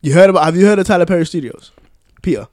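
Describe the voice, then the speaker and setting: A man's voice: sits high, rapid-fire, darkish tone, dry recording, studio quality. A man chatting casually on a podcast with friends, enthusiastic and slangy. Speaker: podcast co-host.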